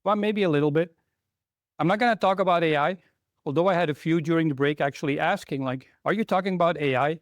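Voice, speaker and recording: A man's voice: a little high, quick pace, dry recording, clean studio sound. A middle-aged man from Belgium giving a conference talk to a live audience, chatty and often asking the room questions. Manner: conversational, asks the audience questions. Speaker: conference speaker, technical trainer.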